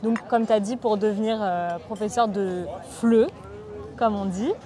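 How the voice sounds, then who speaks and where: A woman's voice: medium pitch, fairly even intonation, a little slow, warm tone. A woman of thirty from Bordeaux who hosts and interviews a guest in a friendly, chatty way, with plenty of fillers. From Bordeaux, France. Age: 30s. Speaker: host. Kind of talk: casual conversational interview.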